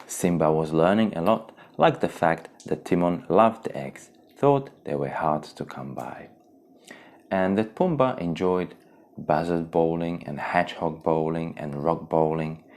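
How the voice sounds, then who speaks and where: A man narrating a children's storybook in a theatrical, expressive way. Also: low voice, a little slow. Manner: theatrical, expressive, acts out exclamations.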